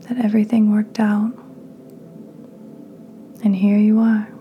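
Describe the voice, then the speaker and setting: A woman hosting a guided meditation in a soft, breathy, near-whispered voice, calm and soothing with unhurried pauses. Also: medium pitch, lo-fi sound, a little hiss or hum. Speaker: podcast host, meditation guide.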